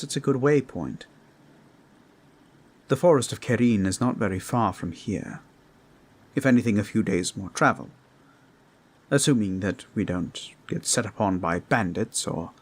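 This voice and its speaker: Man as a measured, scholarly fantasy adventurer. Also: lowish voice, slightly rough. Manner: formal, deliberate, explanatory. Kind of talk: roleplay audio drama.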